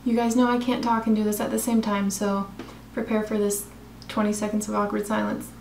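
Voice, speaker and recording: A young woman, a makeup vlogger, chatting casually through a tutorial in her apartment, her voice a bit raspy, talking while she works. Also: medium pitch, flat delivery, a little fast, a little mellow, roomy sound, slight background noise. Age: young adult. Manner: chatty, self-deprecating, talks while applying makeup. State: slightly raspy voice. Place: small room in her apartment. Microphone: camera close in front of face.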